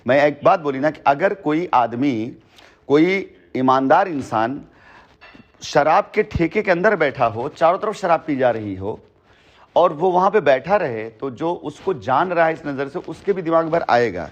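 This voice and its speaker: A man's voice: fairly high pitch, animated, unhurried. Man explaining emphatically on muffled phone call. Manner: emphatic, repeats phrases, long-winded. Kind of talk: phone conversation, explaining at length. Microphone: telephone. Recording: dull lo-fi recorded phone call.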